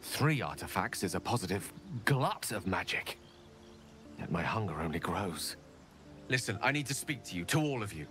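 An actor voicing a proud, rueful human wizard prodigy in a video game, speaking in eloquent, measured, theatrical phrases. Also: male voice, low voice, very animated, sharp tone, soft background music, full character voice. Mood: troubled, earnest. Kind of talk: dramatic video game dialogue.

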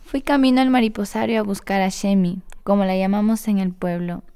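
A woman's voice: mid-range voice, fairly even intonation, fairly quick, warm tone, smooth. A woman reading a scripted first-person narration for a radio story.

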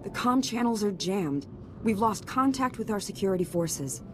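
An actress playing a stern, formal senator with authoritative oratory in a video game. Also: female voice, animated, normal speed, natural voice. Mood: stern urgency. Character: stern, commanding female senator, pressed for time. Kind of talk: video game cutscene acting. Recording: noticeable rumbling background noise.

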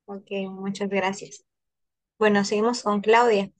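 A woman's voice: medium pitch, little pitch movement, measured pace. Young Peruvian woman moderating a group call. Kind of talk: structured group discussion, introducing questions. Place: indoors on a group video call. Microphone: video call.

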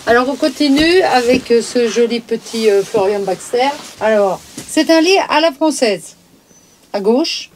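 A woman's voice: a little high, lively intonation, measured pace, slight background noise. A woman chatting cheerfully as she points out the features of a camper van, recorded inside the van. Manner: cheerful, chatty, listing features with small words. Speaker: vlogger touring a camper van. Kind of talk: casual walkthrough commentary.

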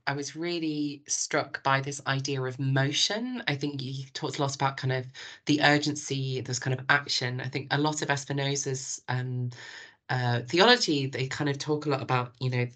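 A woman on a video call who asks a question, speaking tentatively with many pauses and restarts. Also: a bass voice, fairly bright tone. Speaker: discussion participant asking a question.

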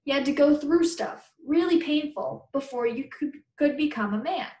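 A woman's voice: high voice, normal speed, a little mellow, recorded from a distance, voice pushed up. An American woman voicing a pushy, defiant fourth-grade boy in a storybook, blunt, slangy and insistent. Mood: preachy. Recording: roomy, echoing webcam recording.

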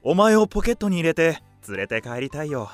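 A gentle, slightly high male voice as a bookish window cleaner in a radio play. He speaks with tender affection, as to a beloved pet. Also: very animated, fairly quick, dry recording, soft background music. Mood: tender, fond. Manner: tender, fond. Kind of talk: audio drama acting.

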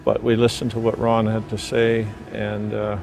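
A man in his sixties speaks haltingly in an outdoor interview. His low voice is gravelly and flat in pitch. Music plays clearly beneath him. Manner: hesitant, halting with fillers. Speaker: sea farm owner. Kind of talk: documentary interview. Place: outdoors on a deck by the sea. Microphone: lapel.

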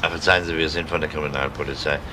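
A courteous police detective, deep voice, formal questions, crime radio play, pretty noisy recording. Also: male voice, little pitch movement, rapid-fire. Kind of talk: German crime radio drama acting.

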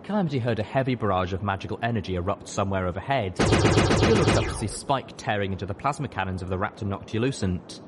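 A man's voice: lowish voice, quick pace, background noise. A man reading fiction aloud as an audiobook narrator, in a dramatic scripted style.

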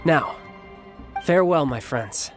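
A man voicing a confident, affectionate young warrior in a video game, speaking in a smooth, casual, slightly theatrical way. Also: a little high, expressive, takes it slowly, soft background music. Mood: friendly.

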